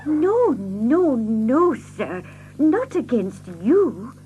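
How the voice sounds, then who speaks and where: Protective, anguished servant woman, earnest dramatic delivery, raised pitch, faint score underneath. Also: sing-song, very slow, warm tone, lo-fi sound, faint music behind, exaggerated voice. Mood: pleading, anguished. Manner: pleading, earnest. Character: distraught, protective servant wife pleading for family. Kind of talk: radio-play dramatic acting.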